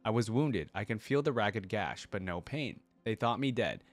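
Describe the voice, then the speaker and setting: A man's voice: lowish voice, animated, moderate pace, lightly acted. A man voicing a brutal, bloodthirsty undead warrior in terse, grim declarations, as a dramatic story reading. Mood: brooding, weary.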